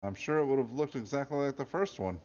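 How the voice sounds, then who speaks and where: A man's voice: mid-range voice, animated, fast talker, a little mellow, muffled recording, pitched above the performer's own voice, lightly acted. A man voicing a clever young girl who talks her way out of trouble.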